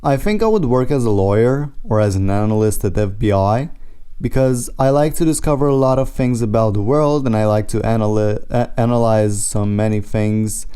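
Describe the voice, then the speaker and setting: A teenage boy with a Romanian accent answers mock speaking-exam questions earnestly and fluently. His tone is mellow and warm, and his voice is smooth and resonant. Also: fairly low pitch, normal speed.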